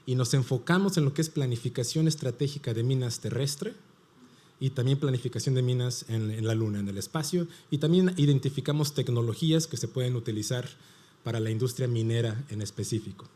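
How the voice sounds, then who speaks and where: A man's voice: mid-range voice, brisk, warm tone. A middle-aged man from Mexico with a Mexican Spanish accent, giving a conference panel presentation in an explanatory way and asking and answering his own questions. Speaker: panel speaker.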